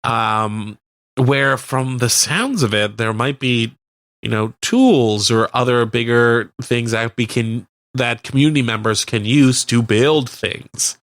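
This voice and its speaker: A Canadian man chats through local news as a radio co-host. His voice sits at an average pitch with a dry, close sound. The delivery is informal and a little halting, with a light joking touch. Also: fairly bright tone, dry recording. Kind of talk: casual local news chat.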